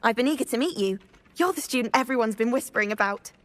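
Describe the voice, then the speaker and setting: A woman's voice: fairly high pitch, animated, fast talker, a bit bright. A woman playing a friendly, eager teenage witch schoolgirl in a video game. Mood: friendly, curious.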